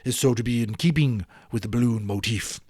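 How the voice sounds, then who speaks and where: A man's voice: mid-range voice, brisk, darkish tone, husky, dry recording, close to an everyday voice. A man voicing a pompous, indignant city mayor in a storybook, speaking in an emphatic, declamatory way. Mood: explaining.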